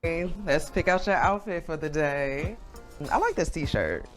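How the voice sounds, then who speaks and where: A woman's voice: low voice, animated, measured pace. A woman in her thirties casually telling her personal story, with music behind her. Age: 30s. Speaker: interviewee in a video clip. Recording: clip playback with music over it. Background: background music.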